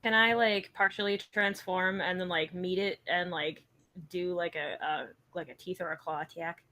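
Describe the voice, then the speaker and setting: A woman's voice: fairly low pitch, fairly even intonation, normal speed, bright, forceful tone. A woman chatting casually as a player in a tabletop role-playing game.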